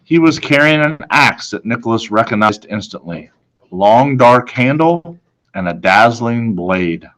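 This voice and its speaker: A man reading a children's novel aloud expressively, with dramatic, deliberate phrasing. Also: mid-range voice, expressive, a bit bright, poor audio. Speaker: story reader, teacher.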